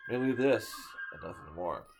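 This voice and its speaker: A man giving a dramatic reading of a poem, swinging from loud declaiming to hushed whispers and sometimes tripping over a word. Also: fairly low pitch, animated, normal speed, gravelly, music in the background. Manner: stumbles and restarts words now and then. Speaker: storyteller reading a poem aloud.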